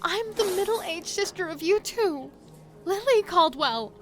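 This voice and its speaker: Casting: a lost, insistent middle sister in horror drama, high voice, urgent and pleading. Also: female voice, expressive, measured pace, faint music behind. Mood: frightened, demanding. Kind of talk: audio horror drama acting with narration.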